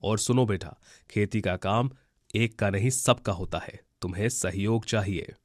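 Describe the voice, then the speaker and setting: Wise old owl elder, male actor: lowish pitch, kindly, advisory, cartoon story delivery. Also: a little slow, no room sound, clean studio sound. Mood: reassuring. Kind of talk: Hindi children's cartoon story acting.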